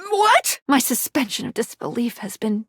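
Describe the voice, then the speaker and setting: A woman voicing a perky, earnest pair of magic eyeglasses who guides the player in a video game, quirky and expressive with cute little interjections. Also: fairly high pitch, moderate pace, breathy edge, dry recording, studio quality, heavily acted. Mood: spiteful, hurt.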